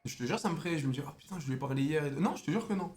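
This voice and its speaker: A man's voice: a little high, lively intonation, racing, darkish tone. A young adult man, a streamer, bantering and mocking a stranger in slangy casual chat.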